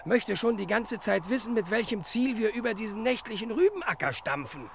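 Eager, high-pitched, creaky sidekick detective. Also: male voice, very animated, brisk, a little hiss or hum, exaggerated voice. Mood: puzzled, dutiful. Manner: clipped telegram-style sentences without pronouns. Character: eager sidekick detective Naumann, devoted to his master. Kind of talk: 1960s German crime radio play parody.

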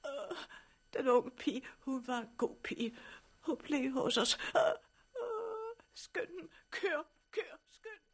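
A woman's voice: high voice, sing-song. An actress playing a distressed, hungry woman in a 1960s radio drama, pleading and whimpering. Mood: distressed, weeping.

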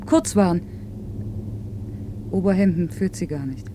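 A woman's voice: fairly low pitch, measured pace, noisy background, natural voice. An actress playing a reserved, stubborn female factory worker in a radio play, terse and plain-spoken. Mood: guarded. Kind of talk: naturalistic German radio play dialogue.

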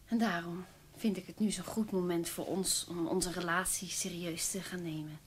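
A woman's voice: on the low side, fairly even intonation, normal speed, a little hiss or hum, lightly acted. An actress playing a blunt, breezy mistress of an older man in a naturalistic radio drama, chatty and matter-of-fact. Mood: breezy, frank.

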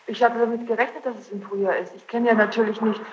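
A woman's voice: on the high side, little pitch movement, quick pace. A woman answering questions as a witness, defensive and repeating herself. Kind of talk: answering questions under examination. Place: courtroom. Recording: echoey, muffled, noisy archival courtroom tape.

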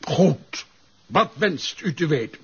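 A man playing an indignant, evasive gentleman suspect in a crime drama, formal and defensive. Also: a little high, animated, slow, slightly rough, muffled recording, exaggerated voice. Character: indignant, evasive, wealthy male suspect with political friends. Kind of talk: Flemish television crime drama acting.

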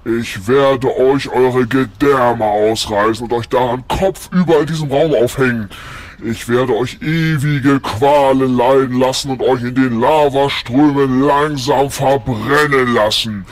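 Man playing a pompous, slow-talking demon lord. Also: medium pitch, steady tone, natural voice. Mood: menacing, grandiose. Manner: grandiose, archaic, drawn-out villain speech. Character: pompous theatrical demon lord of hell, menacing and whiny. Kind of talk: German audio-play parody acting.

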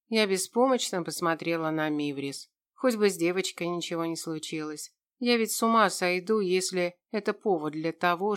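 A woman narrates a fantasy audiobook in the first person. Her voice is smooth and clean, sitting a little low for a woman.

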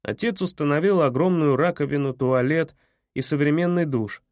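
A man narrating an audiobook in first person with a measured, even reading. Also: a little high, no room sound, clean studio sound.

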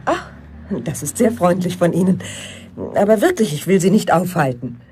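A woman's voice: on the low side, animated, moderate pace, mellow, slight background noise, lightly acted. An actress playing a courteous, slightly flustered woman traveller in a German radio play. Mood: courteous, grateful. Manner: polite, a little flustered. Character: courteous woman bus passenger laden with bags.

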